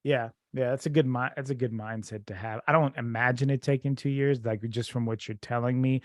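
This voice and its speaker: A man's voice: mid-range voice, steady tone, brisk, no room sound, studio quality. A man hosting a casual podcast interview, rambling with lots of fillers and restarts. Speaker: podcast host.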